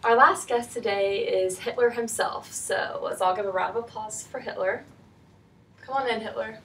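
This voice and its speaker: A woman's voice: a little high, normal speed, a bit bright. A young woman acting as host of a student mock talk show, asking scripted questions in a plain, casual way. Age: young adult. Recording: distant mic, faint noise.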